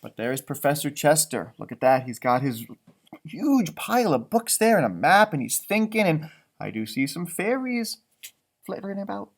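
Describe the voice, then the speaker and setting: A man's voice: on the high side, moderate pace. Guy doing a kids read-aloud, super up and down voice, chatty little asides on the pics. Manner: animated, chatty commenting on pictures. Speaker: storybook reader.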